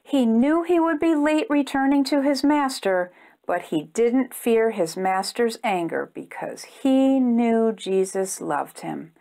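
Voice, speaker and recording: A woman reading a storybook aloud to young children in an expressive storytime style. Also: on the high side, slow pace. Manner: expressive, simple phrasing aimed at young children. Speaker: children's storytime reader and host.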